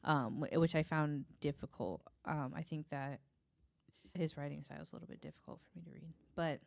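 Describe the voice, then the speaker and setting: A woman's voice: deep voice, brisk, creaky, a little mellow, no echo. A woman co-hosting a podcast in casual banter, talking candidly and poking fun at herself. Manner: candid, self-deprecating. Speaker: podcast co-host.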